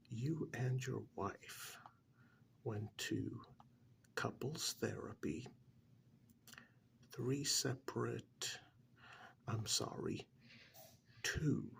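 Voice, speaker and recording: Man playing calm frank therapist, ASMR role-play, soft half-whisper, very slow halting delivery, gravelly fry, matter-of-fact. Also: mid-range voice, full character voice. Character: calm, frank male therapist counselling a troubled married man.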